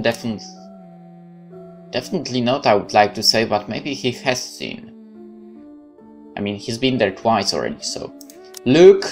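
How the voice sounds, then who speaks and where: A let's player with a medium-pitched voice reads a visual novel aloud over faint music. The delivery is casual and hesitant, often breaking off to think out loud about the story. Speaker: gaming video host. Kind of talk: casual let's play commentary, reading game text aloud. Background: game music.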